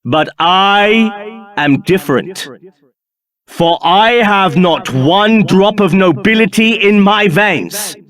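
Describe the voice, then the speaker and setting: An arrogant, bitter fantasy game villain with a high male voice. His delivery swings widely in pitch and takes its time, haughty and declamatory, as befits someone hungry for power. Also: lively intonation, slow pace, a bit bright, heavily acted. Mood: grandiose, scornful. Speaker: video game voice actor. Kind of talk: theatrical video game character acting.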